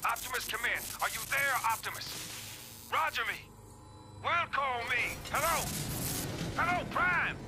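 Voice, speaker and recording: An anxious robot trooper, very high in pitch, calling insistently and informally. Very loud music runs through the game scene. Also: male voice, animated, unhurried, pauses often. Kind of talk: video game cutscene acting.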